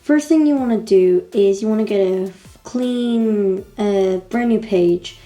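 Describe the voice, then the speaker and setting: Croaky woman host, very dark mellow tone, casual drawing tutor, faint music under. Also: mid-range voice, takes it slowly. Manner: chatty, repeats instructions with fillers. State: croaky, losing her voice.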